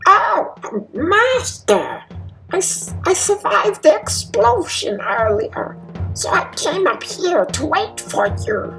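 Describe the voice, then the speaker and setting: A woman's voice: extremely high pitch, wildly swooping pitch, takes it slowly, gravelly, fairly bright tone, faint music behind, exaggerated voice, poor audio. A woman playing a resentful male lab henchman in an old-time radio comedy mystery. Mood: fawning, nervous. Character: male lab henchman Igor, fawning then bitterly resentful.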